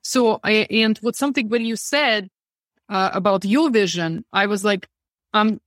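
A woman's voice: lowish voice, vocal fry, dry recording. A woman hosting and moderating a panel, speaking enthusiastically.

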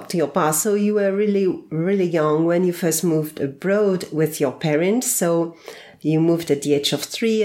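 A woman hosting a podcast, reading a scripted introduction and then interviewing a guest in a measured, deliberate way. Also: on the low side, a little mellow. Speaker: podcast host.

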